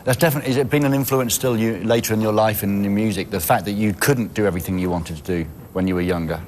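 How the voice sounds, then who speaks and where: Quick-talking low-voiced male interviewer. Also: a little mellow, a little hiss or hum. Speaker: interviewer, show host. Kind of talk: TV music interview chat.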